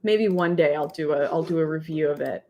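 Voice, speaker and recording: Deep-voiced woman chatting on a livestream. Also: brisk, warm tone, slight background noise. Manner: chatty, rambling, laughs often. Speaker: livestream host reading chat. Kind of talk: casual livestream chat.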